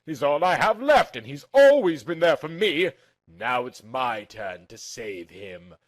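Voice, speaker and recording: A man's voice: on the high side, very animated, unhurried, dry recording. A man voicing a slender young Viking princess, passionate and determined, in a dramatic, earnest storybook reading.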